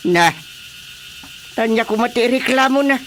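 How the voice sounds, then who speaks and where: A man's voice: extremely high pitch, expressive, unhurried, fairly bright tone, a little hiss or hum, full character voice. A man acting a grumbling, dismissive husband in a radio drama, exclaiming with an Ilocano accent. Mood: exasperated.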